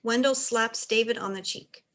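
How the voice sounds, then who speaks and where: A woman's voice: fairly high pitch, normal speed, background noise. A woman reading scripted stage directions as the narrator of a play.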